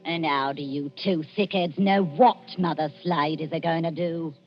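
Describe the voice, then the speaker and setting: A woman's voice: sits low, fairly even intonation, normal speed, natural voice. An actress playing a scheming, greedy old woman in a radio thriller, wheedling and sinister. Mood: sly, commanding. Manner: wheedling, calls people dearie. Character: scheming, greedy old Cockney female body-snatcher, coaxing and sinister. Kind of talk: 1940s radio thriller acting. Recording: muffled vintage radio recording, music behind.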